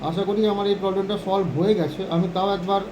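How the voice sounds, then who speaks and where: A man teaches in an informal, hands-on way. His voice is high and he talks quickly, often adding short check-in questions. The recording has clear background noise. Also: little pitch movement, lo-fi sound. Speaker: phone repair instructor. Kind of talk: informal hands-on repair tutorial.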